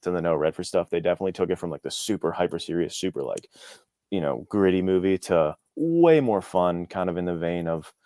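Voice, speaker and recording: A man's voice: deep voice, animated, a little fast, dark tone, no room sound, clean studio sound. A man talking casually as an interview guest, explaining things in an enthusiastic, informal, rambling way. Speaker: guest author.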